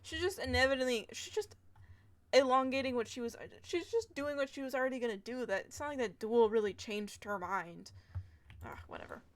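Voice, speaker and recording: A woman's voice: high voice, expressive, a little fast. A young adult woman streaming a game, making casual, sarcastic and exasperated comments.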